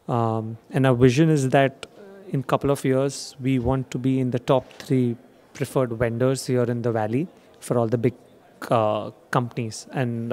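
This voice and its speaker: A man's voice: medium pitch, a little mellow. A young man presenting his company at a trade show demo theater, hesitant with many fillers. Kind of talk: trade show sales pitch. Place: dark expo demo theater.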